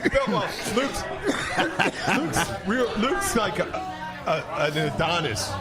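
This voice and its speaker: High-voiced man bantering over bar crowd noise. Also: slow pace. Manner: animated, jokey, profane, often laughing. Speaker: podcast host and bartender. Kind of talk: casual bar banter and storytelling. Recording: noisy bar crowd behind.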